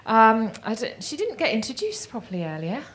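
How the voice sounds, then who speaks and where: A woman's voice: fairly high pitch, wildly swooping pitch, brisk. A woman hosting an event, introducing the next session with many fillers. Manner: warm, filler-laden introductions. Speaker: event host.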